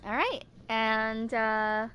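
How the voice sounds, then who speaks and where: A woman in her twenties reading game text aloud in a halting, amateur way with casual asides. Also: on the high side, steady tone, a bit bright, slight background noise. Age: 20s. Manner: halting, stumbles over words. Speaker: amateur gaming video creator.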